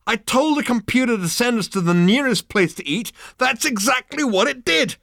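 A man voicing a cocky, excitable alien hipster in an audiobook, brash and slangy with indignant exclamations. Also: mid-range voice, fairly quick, dry recording, studio quality, voice pushed up. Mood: triumphant.

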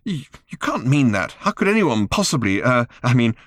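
A man playing a gentle, bewildered Englishman who explains things hesitantly. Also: mid-range voice, big pitch swings, brisk, no echo, clean studio sound, close to an everyday voice. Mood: pleading. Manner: hesitant, apologetic, fumbling. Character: flustered gentle Englishman, bewildered new father.

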